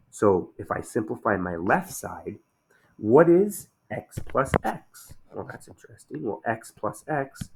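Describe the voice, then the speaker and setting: A man teaching a math lesson in a relaxed, conversational way, slightly hoarse after a day of shouting, often checking in with "okay?". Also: lowish voice, slight background noise.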